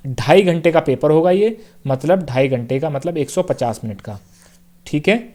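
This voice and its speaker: Young man, exam coaching teacher, casual and repetitive, fairly high voice. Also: lively intonation, normal speed, warm tone. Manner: casual, repeats points, fills with "theek hai" and "bhai". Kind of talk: explaining a job notification on screen.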